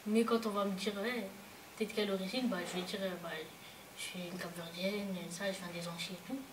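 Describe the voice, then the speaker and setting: A woman's voice: medium pitch, fairly even intonation, fairly quick. A woman from France giving casual personal testimony in plain, colloquial speech at a public discussion. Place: cinema hall. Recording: echoey noisy hall, distant mic.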